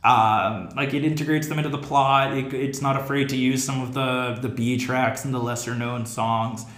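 A man's voice: mid-range voice, fairly even intonation, moderate pace, a bit bright. American guy podcast host, casual chat, medium voice, kinda echoey room. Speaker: theatre teacher. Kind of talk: casual panel chat about theatre. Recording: roomy, echoing podcast room.

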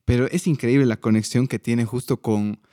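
A man, hosting a casual podcast chat and talking about coaching in an animated, laughing way. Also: mid-range voice, normal speed, dry recording, clean studio sound.

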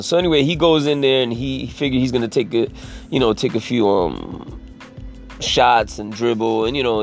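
A man with a raspy voice talking in a casual, rambling monologue, swearing freely and leaning on "you know" as he restarts his sentences. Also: on the low side. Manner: profane, filler-heavy, restarts sentences.

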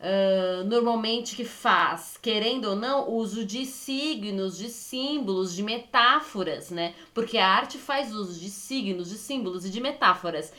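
A woman's voice: mid-range voice, a little slow, hardly any pauses, bright, forceful tone. A woman co-hosting a dance podcast, thinking aloud at length, often breaking off and correcting herself as she reasons. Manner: rambling, self-correcting, reflective. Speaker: podcast co-host.

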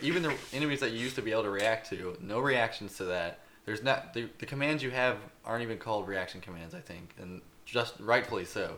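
A man on a podcast giving a casual, halting, unscripted game review. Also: lowish voice, a bit bright, a little hiss or hum.

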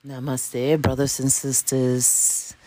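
A woman's voice: rumbling low, flat delivery, slow pace, heavy background noise. A woman in her late fifties with a New York accent, giving an informal motivational talk in emphatic, repeated short phrases. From Dominican Republic, raised in Washington Heights, New York City. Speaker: spiritual self-help vlogger.

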